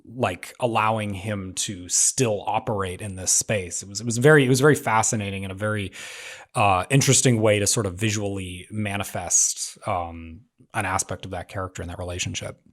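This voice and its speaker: A man's voice: fairly low pitch, fairly even intonation, a little fast. A man casually discussing a script, circling around his point and peppering it with "like". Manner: hesitant, circling phrasing peppered with "like".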